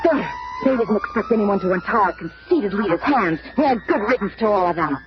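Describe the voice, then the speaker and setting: Sharp-tongued superhero gal woman acting, scornful and dramatic, pitch jumps around, noisy old radio show. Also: expressive, a little fast, highs cut off, lightly acted.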